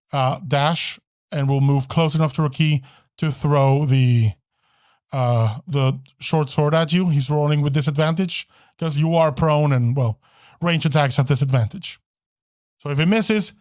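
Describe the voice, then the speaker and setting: A man narrates a tabletop game as its game master. His voice sits a little high and the sound is fairly dry. He feels his way through rulings, often stopping to correct himself. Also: a little mellow, no echo. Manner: halting, self-correcting.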